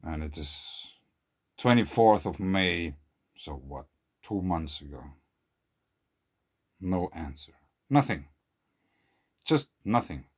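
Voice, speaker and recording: A man whose first language is German, speaking English in an earnest, questioning monologue to camera, often trailing off quietly. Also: low voice, expressive, slow, pauses often, breathy edge. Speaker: video creator. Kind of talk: casual monologue to camera, reading out an email.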